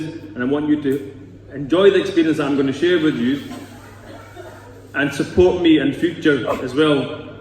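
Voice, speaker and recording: A man's voice: fairly high pitch, darkish tone. A man in his thirties with a Scottish accent, giving an earnest, rambling personal talk to a congregation. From Scotland. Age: 30s. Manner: earnest, rambling, with asides to the audience. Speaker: speaker at a Sikh gurdwara. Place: large echoey gurdwara hall. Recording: echoey hall recorded from a distance.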